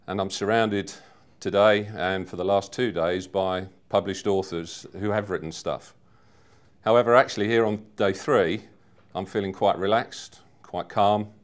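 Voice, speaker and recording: Man in his fifties, lowish pitch, clear resonant voice, measured earnest testimonial. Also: fairly even intonation. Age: 50s. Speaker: seminar attendee giving a testimonial. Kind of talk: personal testimonial.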